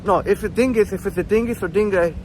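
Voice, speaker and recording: A man with a high voice strolls city streets while hosting a livestream, rambling loosely and reading viewer comments aloud. Wind noise rushes across the mic as he walks outdoors. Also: darkish tone, rough recording. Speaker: livestream host. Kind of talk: casual walking livestream chat.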